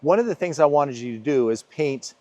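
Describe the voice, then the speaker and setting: An American man interviewing a painter, asking warm leading questions in an easy conversational way. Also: fairly high pitch, lively intonation, fairly quick, no echo, lo-fi sound. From United States. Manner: friendly, leading questions, talks over answers.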